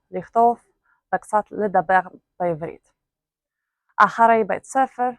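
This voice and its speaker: A young woman from Belarus talking casually to camera, thoughtful and hesitant, pausing often with filler sounds. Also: medium pitch, a little mellow, dry recording. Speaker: vlogger.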